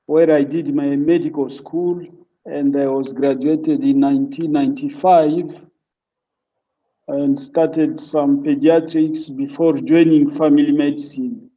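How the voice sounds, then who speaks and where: A man's voice: on the high side, fairly even intonation, unhurried. A middle-aged man with a Congolese accent, a family physician recounting his career as an interview guest over an internet call. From Democratic Republic of the Congo. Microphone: online call on a weak connection. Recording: muffled, distant internet call audio.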